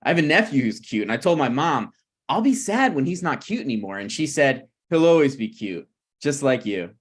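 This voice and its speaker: A man's voice: mid-range voice, big pitch swings, a little fast, husky. A man reading the part of a flippant, needling, self-deprecating screenwriter in a conversational comedy table read. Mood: awkward banter.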